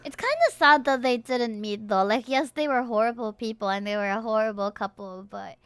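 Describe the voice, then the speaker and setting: High-voiced Filipino woman livestreamer. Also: lively intonation, moderate pace, fairly bright tone, smooth, no echo. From Philippines. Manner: chatty, playful, reacting to chat. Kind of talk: casual livestream chat over a game, switching to reading the screen. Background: music.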